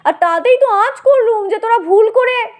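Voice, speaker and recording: A woman voicing a flustered young man, excitedly placating his friends in an audio story. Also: very high voice, normal speed, voice pushed up.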